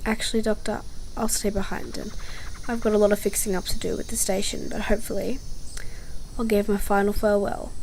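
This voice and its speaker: Woman acting a gentle researcher, noisy recording. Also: mid-range voice, little pitch movement, brisk, lightly acted. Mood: subdued. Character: Skyler, grieving gentle young female base researcher. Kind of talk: amateur fan audio drama acting.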